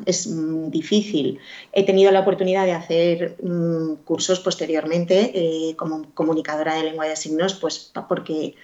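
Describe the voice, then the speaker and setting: A woman's voice: lowish voice, darkish tone. A woman guest in a podcast interview, answering thoughtfully with many fillers and restarts. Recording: dull lo-fi audio.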